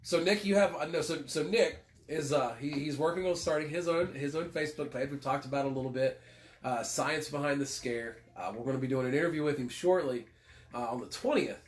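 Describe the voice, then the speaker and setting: A man's voice: on the high side, fairly quick. A man with a cold, casually co-hosting a livestream, rambling, swearing and cracking self-deprecating jokes. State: congested with a cold. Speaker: livestream co-host.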